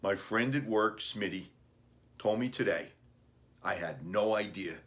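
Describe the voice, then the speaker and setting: A man reading a children's storybook, playing an earnest, gentle father talking about his young son's wishes. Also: lowish voice, unhurried. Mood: regretful.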